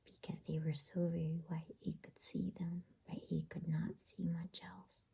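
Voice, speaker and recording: A woman whispers a storybook in ASMR style, very breathy and low in pitch. Her delivery is hushed and slow, as a bedtime reading. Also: level tone. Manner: soft, unhurried, hushed.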